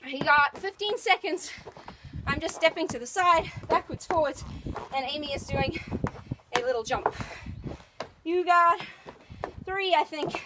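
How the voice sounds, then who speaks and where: A woman with a very high, loud voice coaches a workout outdoors, upbeat and encouraging as she counts down each set. She is recorded on a phone outside. Clear background noise runs under her voice. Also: unhurried, long pauses. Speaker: fitness trainer. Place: outdoors in the sun.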